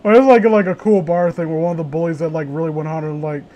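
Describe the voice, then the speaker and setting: A man's voice: a little high, steady tone, fast talker, warm tone, poor audio. A man hosting a casual read-along with friends, joking in a crude, teasing way. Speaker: host reading horror stories aloud with friends.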